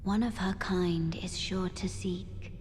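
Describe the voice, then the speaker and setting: An actress playing a solemn, mysterious young woman in a dark fantasy game, hushed, breathy and cryptic. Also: lowish voice, steady tone, slow, slight background noise. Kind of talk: video game cutscene dialogue.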